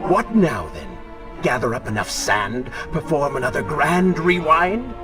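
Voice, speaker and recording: High, sardonic male dark spirit. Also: very animated, a little slow. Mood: mocking scorn. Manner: sardonic, silky, mocking. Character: sneering, taunting male dark spirit, inner voice. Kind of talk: video game character acting. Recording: music under the voice.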